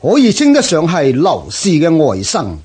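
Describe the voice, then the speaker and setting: An actor playing a proud, scornful Xiongnu chieftain in a Cantonese historical radio drama, declaiming grandly with a Hong Kong accent. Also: male voice, on the high side, huge pitch swings, normal speed, darkish tone, husky, exaggerated voice. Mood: solemn, resolute.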